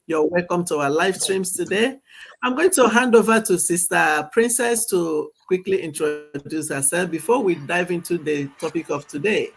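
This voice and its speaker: A woman's voice: lowish voice, normal speed, a little hiss or hum. A woman hosting a live stream, handing over to each guest and repeating her thanks. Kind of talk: moderating introductions.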